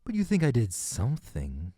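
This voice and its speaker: An actor as enigmatic murder suspect, audio drama, mellow dry voice, teasing, polite, theatrical. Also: mid-range voice, wildly swooping pitch, moderate pace, slightly rough, no echo. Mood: teasing, knowing. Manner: coy, theatrical, polite, evasive.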